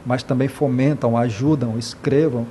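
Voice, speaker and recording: An earnest man from Brazil speaks in an interview at a mid pitch. He talks with passion, often stops to restart, and keeps adding tag questions. A faint noise runs under the recording. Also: fairly even intonation, normal speed, warm tone. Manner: impassioned, many hesitations and repeated "né".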